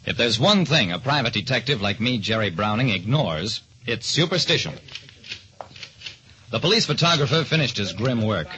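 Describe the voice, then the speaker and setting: A man's voice: medium pitch, sing-song, moderate pace, pushed and bright, a little hiss or hum. An actor, a man, playing a hard-boiled private detective who narrates his case in a clipped, dramatic old-radio style. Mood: wry, dramatic. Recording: dull old-time radio recording.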